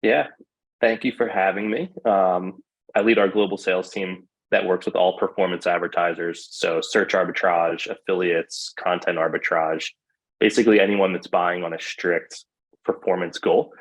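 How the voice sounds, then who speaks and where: A man's voice: low-pitched, normal speed, low-quality recording. A man leading a sales team, introducing himself casually on a webinar. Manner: relaxed, casual American phrasing. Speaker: sales team lead, panelist. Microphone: video call.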